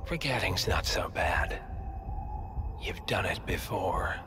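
A man playing a smug, coldly menacing conspiracy boss in a video game, talking in a condescending, unhurried way. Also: sits low, lively intonation, whispered, music in the background. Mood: smug, mocking. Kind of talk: dramatic video game cutscene acting.

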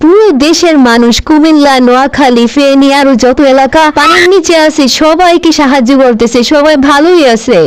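Woman acting a shrill-pitched, petulant cartoon grandmother. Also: normal speed, few pauses, lightly acted. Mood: petulant, demanding. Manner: nagging, sulky, bossy. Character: petulant, demanding, vindictive grandmother and exiled lady politician.

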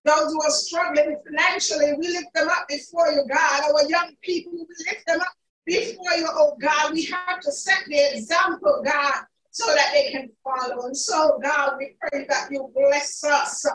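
A woman leading a fervent spoken prayer at a church pulpit, impassioned and rising into shouts of praise. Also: high-pitched, fairly even intonation, normal speed. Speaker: prayer leader. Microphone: pulpit mic, recorded by far camera. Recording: echoey church from far off.

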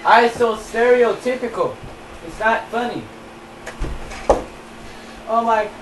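A man's voice: very high-pitched, fairly bright tone, a little hiss or hum, heavily acted. A teenage boy putting on a boastful young man who speaks broken English and asks "yes?" after everything, filmed in a bedroom. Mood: smug. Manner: halting, mock-foreign, keeps asking "yes?". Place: small cluttered bedroom. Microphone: camera across the room. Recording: roomy, distant home-camera audio.

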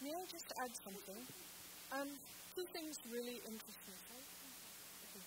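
A woman on a theatre stage panel, answering earnestly and firmly with halting restarts, into a handheld mic. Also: fairly high pitch, animated. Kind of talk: panel discussion response. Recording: hissy auditorium recording.

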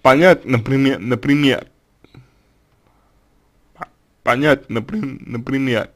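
A middle-aged man offers unhurried advice in a plain mid-pitched voice. He talks slowly, searching for words with frequent fillers and self-corrections, circling back to rephrase. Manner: slow, hesitant, many fillers and restarts. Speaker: psychologist answering a question. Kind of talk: spoken advice monologue.

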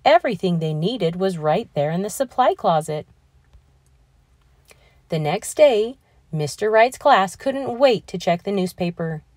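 A woman reading a children's picture book aloud in an expressive, deliberate storytelling manner with emphatic stresses. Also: mid-range voice, no room sound.

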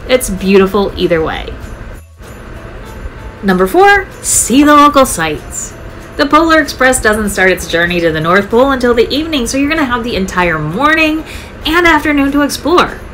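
A woman with a fairly high voice narrates a travel vlog in an upbeat, chatty way. Her voice-over sounds scripted and enthusiastic. Light music plays faintly behind her. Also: big pitch swings, normal speed, fairly bright tone, low-quality recording. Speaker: travel vlogger.

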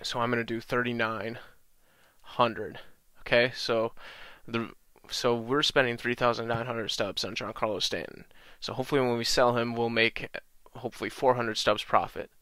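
A young man with a slight cold-raspy voice, casually walking through a video game tutorial with lots of ums. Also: medium pitch, normal speed, no echo. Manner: relaxed, many ums and uhs, talks through menus. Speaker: gaming channel host.

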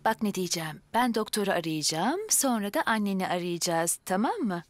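An actress playing a caring young babysitter in a dubbed children's drama, speaking in a gentle, attentive and reassuring way. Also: female voice, mid-range voice, lively intonation, brisk, dry recording, close to an everyday voice. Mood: soothing, concerned. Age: young adult. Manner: soothing, attentive.

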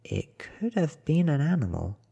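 A worried teenage boy, read by a man in a hushed storybook style. He sounds earnest and breathy, a little higher and brisker than the narration. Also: big pitch swings, long reverb tail, lo-fi sound, heavily acted. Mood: puzzled. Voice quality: soft, breathy. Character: worried teenage boy looking for his father.

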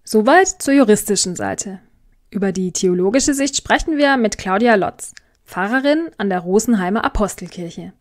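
A woman's voice: mid-range voice, lively intonation, moderate pace, faint music behind. Female podcast host, mid-range voice, prepared interview moderation.

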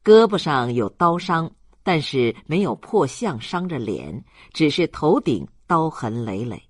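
An audiobook narrator reading a literary story in a measured, dramatic storytelling manner. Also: mid-range voice, sing-song, slightly rough, no room sound, studio quality.

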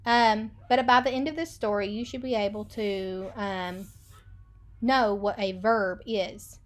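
A woman in her thirties, an elementary school teacher, reading a picture book lesson to children in a deliberate sing-song way, recorded indoors on a computer webcam. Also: mid-range voice, steady tone.

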